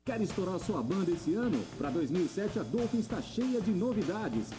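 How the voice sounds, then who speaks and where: A man's voice: sits high, sing-song, moderate pace. A man announcing a music store ad in a punchy, salesy voice. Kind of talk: energetic radio-style ad read. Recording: ad with clear music underneath.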